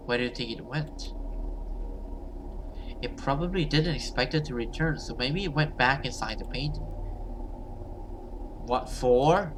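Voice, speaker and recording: A man's voice: mid-range voice, noisy background. A man reading a horror story aloud as a gamer, narrating and voicing dialogue, sometimes stumbling and rereading. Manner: stumbles over words and rereads.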